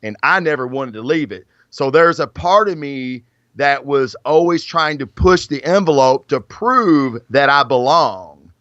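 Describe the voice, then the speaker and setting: A man's voice: mid-range voice, animated, measured pace, a bit bright, dry recording. A man in his forties, a firefighter guest, telling stories in a rambling, casual way. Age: 40s. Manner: rambling storytelling with many fillers. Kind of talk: casual podcast chat. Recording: dull dry lo-fi audio.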